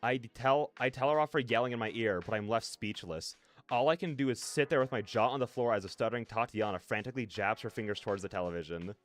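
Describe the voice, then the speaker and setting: A man's voice: mid-range voice, fast, faint music behind, lightly acted. Man reading as wry first-person game narrator. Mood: stunned. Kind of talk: scripted narration read aloud.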